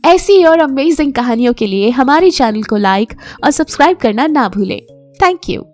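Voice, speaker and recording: A woman's voice: high voice, lively intonation, moderate pace, soft background music. A woman narrating a scripted moral story for children as a storyteller.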